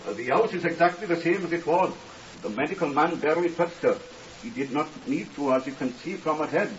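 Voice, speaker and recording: An actor playing a deferential, grief-stricken college servant, halting and forever polite, in 1950s British radio drama style. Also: male voice, a little high, far from the mic, close to an everyday voice. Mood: shaken, deferential. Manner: halting, deferential, repeatedly saying sir. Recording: muffled, noisy, crackly old radio recording.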